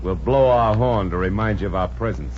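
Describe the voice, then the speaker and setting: An actor as a low-voiced wisecracking gangster. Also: male voice, fairly quick, lightly acted. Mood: menacing, amused. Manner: slangy, mock-polite tough-guy talk. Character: menacing wisecracking male gangster debt collector. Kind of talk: 1950s radio comedy acting. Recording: muffled, noisy old radio recording.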